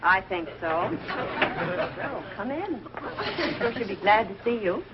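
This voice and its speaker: A woman's voice: mid-range voice, big pitch swings, takes it slowly. An actress playing a sensible, affectionate middle-aged housewife and mother with a dry wit in a 1940s sitcom. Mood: dryly polite. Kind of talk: 1940s live TV sitcom acting. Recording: dull, noisy old TV soundtrack.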